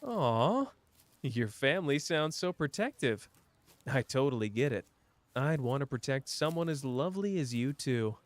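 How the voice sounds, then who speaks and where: A man doing a slow, flirtatious charmer. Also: mid-range voice, big pitch swings, no room sound.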